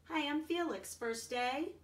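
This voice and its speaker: A woman's voice: high voice, measured pace, pitched above the performer's own voice. A woman reading the part of an eager, earnest, kind-hearted little boy in a children's storybook. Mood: friendly. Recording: roomy echo, distant from the mic.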